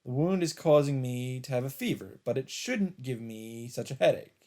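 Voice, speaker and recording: A man reads visual novel narration aloud as a let's play narrator. His voice sits at a middle pitch, with a measured storytelling delivery. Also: lively intonation, dark tone.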